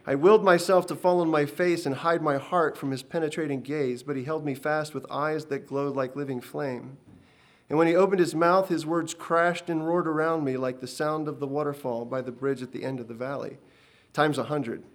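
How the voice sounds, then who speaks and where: A man playing an earnest, shaken old prophet recounting a vision in a hushed voice. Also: on the high side, steady tone, normal speed, natural voice.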